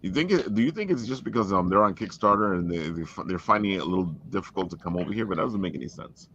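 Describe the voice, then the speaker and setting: A man hosting a casual chat in a halting, supportive way, on a dull lo-fi recording. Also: low-pitched, animated, fairly bright tone. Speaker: podcast host.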